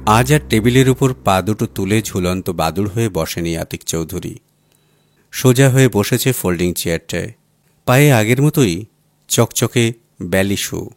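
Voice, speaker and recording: A man reading a story aloud as an audiobook narrator, measured and expressive, with pauses. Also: on the low side, dry recording. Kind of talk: dramatic Bengali story reading.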